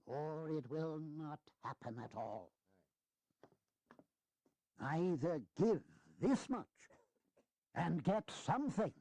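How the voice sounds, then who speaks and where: An actor playing an elderly, wry and earnest American statesman giving a deliberate, emphatic speech in a historical audio drama. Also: high voice, darkish tone, no room sound, exaggerated voice. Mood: stern, wry. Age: older.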